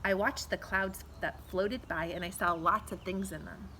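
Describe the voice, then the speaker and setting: A woman's voice: medium pitch, steady tone, normal speed, sharp tone, lightly acted. A woman voicing a kindly storybook grandmother who reminisces about her simple childhood. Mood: fondly reminiscing. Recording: faint outdoor background noise.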